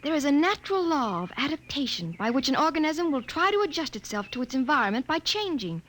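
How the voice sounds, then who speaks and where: High-voiced, breathy young American wife. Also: female voice, big pitch swings, fast talker, fairly bright tone. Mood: excited, hopeful. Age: young adult. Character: young wife, breathless, wondering, tender, hopeful. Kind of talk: 1950s radio drama acting. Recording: noisy 1950 radio transcription.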